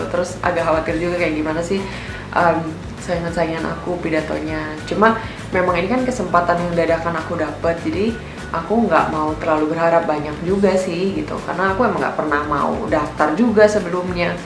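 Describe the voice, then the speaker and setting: A young woman telling a personal story in a casual, candid voice-over. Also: fairly low pitch. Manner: chatty and candid, with lots of filler words. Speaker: vlogger narrating her own experience. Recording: echoey room with music behind. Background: background music.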